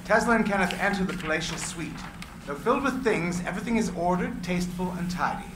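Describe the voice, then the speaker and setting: A man reading the stage directions aloud at a live script reading, evenly and clearly. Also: high voice, fast talker. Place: small room with seated audience. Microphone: far camera. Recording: echoey room with faint hiss.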